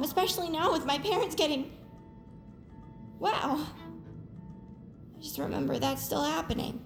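A woman performs a fed-up, emotional teenage girl in a very high voice. The delivery is earnest and exasperated, as in audio drama, with echo and music underneath. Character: fed-up, conflict-avoiding teenage girl finally speaking her mind.

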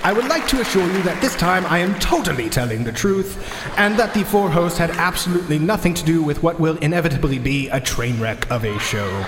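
A man's voice: a little high, animated, a little fast, lightly acted. A man giving a mock-formal scripted announcement as a show's announcer, pompous and solemn. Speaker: announcer introducing a podcast's Christmas special. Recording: echoey hall with noise and crowd.

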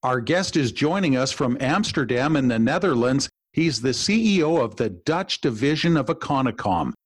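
A man's voice: medium pitch, lively intonation, moderate pace, husky, no echo. A male podcast host and executive coach, enthusiastic, asking long, wind-up questions of his guest. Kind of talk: podcast interview.

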